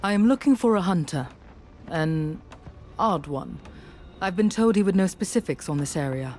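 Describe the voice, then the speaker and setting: A woman's voice: fairly low pitch, lively intonation, normal speed, darkish tone, natural voice. An actress voicing a determined woman adventurer in a video game, speaking directly and plainly. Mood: direct, curious. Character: determined, questioning young woman adventurer hero. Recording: clear background ambience noise.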